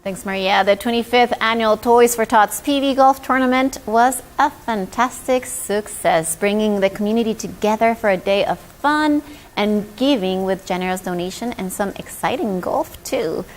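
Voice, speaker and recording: A woman's voice: mid-range voice, normal speed. A woman co-hosting a news show in a casual, chatty style. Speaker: news co-host.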